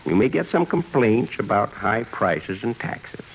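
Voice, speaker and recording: An actor playing the departing old year as a gentle, reflective old man, speaking in a homespun, fatherly way in a 1950s radio drama. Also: on the low side, sing-song, measured pace, vocal fry, slight background noise, full character voice. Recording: dull vintage radio broadcast, music behind.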